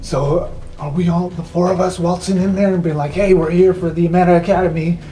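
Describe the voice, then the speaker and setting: A woman's voice: on the low side, steady tone, brisk, warm tone, soft background music. A woman talking casually as a player in a roleplaying game. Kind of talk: casual chat.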